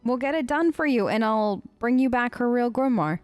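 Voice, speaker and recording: High-voiced woman, casual game role-play. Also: lively intonation, normal speed, darkish tone, no room sound, soft background music. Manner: informal, hesitant, playfully in character. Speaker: let's play streamer.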